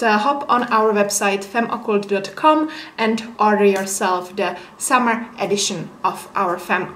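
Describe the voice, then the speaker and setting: A woman's voice: on the high side, a bit bright. A young woman with a Czech accent, a native Czech speaker, casually reviewing a book in a small room with many filler sounds. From Czech Republic. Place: small room with window, indoors. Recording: echoey room.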